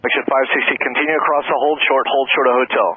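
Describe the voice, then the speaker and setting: A man's voice: mid-range voice, steady tone, quick pace, slightly rough, no echo, narrow, tinny audio. A man working as an air traffic ground controller, giving clipped procedural instructions over the radio. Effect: aviation radio.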